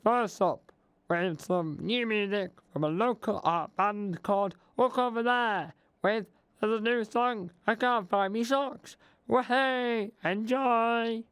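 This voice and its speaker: Guy doing a nervous old-timer dj, high-pitched, trips over words, hoarse-ish, dry close sound. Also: slow pace, no echo, full character voice. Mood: flustered cheery. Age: older. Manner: excitable and flustered, tripping over words. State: losing his voice. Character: bumbling older male local radio DJ. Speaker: radio host. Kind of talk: comedic spoof radio show.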